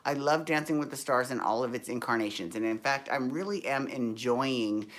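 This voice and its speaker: A man's voice, worn low and tired after a day of performing, carries through a hotel room. He chats in a sassy, rambling way, drifting into side stories and asides, with a pitch that sits in the middle for a man. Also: normal speed, a bit bright. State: tired, deepened voice. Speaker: show host. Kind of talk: casual chatty recap vlog.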